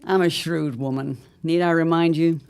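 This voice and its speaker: A woman's voice: deep voice, steady tone, moderate pace, gravelly, darkish tone, clean tone. A woman playing a shrewd, scheming mother of a grown son in an audio drama, with a grand, theatrical, drawling delivery. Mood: sly, commanding.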